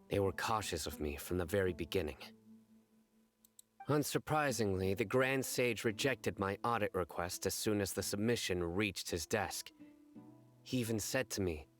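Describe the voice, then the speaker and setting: A man voicing a stern, solemn general and judge in an anime game, formal, measured and grave. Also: lowish voice, lightly acted.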